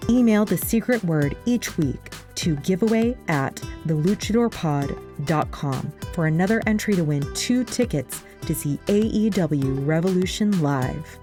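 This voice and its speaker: A woman's voice: lowish voice, expressive, measured pace, hardly any pauses, dark tone, faint music behind. A woman reading a scripted announcement as a show's credits announcer.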